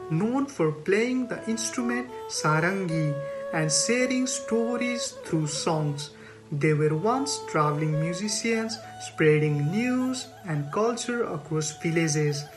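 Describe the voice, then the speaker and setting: A woman from Nepal giving a scripted presentation, reading prepared text in a measured, formal way. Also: lowish voice, low-quality recording. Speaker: community organisation co-founder. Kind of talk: scripted presentation in a recorded video. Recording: plucked string music behind the voice.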